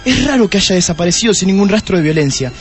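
An actor playing an irritable, bossy, hysterical police detective with an Argentine accent in a comic radio play. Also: male voice, sits high, lively intonation, fast, faint music behind. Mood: irritated, brooding. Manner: snappy, bossy, impatient.

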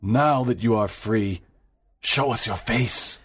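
A man's voice: lowish voice, slow, fairly bright tone, breathy edge, no echo, clean studio sound, full character voice. A man reading a storybook aloud, voicing an indignant older governor. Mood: stern. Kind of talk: graded reader audiobook.

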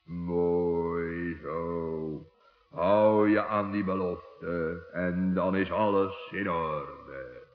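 A man's voice: low voice, steady tone, soft background music. A man playing a grave, magnanimous wizard in a children's radio play, speaking solemnly. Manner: deliberate, solemn. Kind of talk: 1960s Dutch children's radio play acting. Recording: echoey hall, dull lo-fi sound.

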